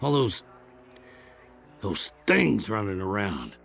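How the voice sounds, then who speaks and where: Gravelly, bewildered old-west cowboy. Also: male voice, fairly low pitch, big pitch swings, soft background music, full character voice. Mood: weary, bewildered. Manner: halting, plain-spoken, rustic. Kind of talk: radio drama acting.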